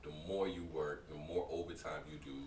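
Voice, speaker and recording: A man's voice: a bass voice, fairly even intonation, a little fast, a little mellow. A man co-hosting a casual chat, giving advice in an encouraging way. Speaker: co-host. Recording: echoey room from far off, faint noise.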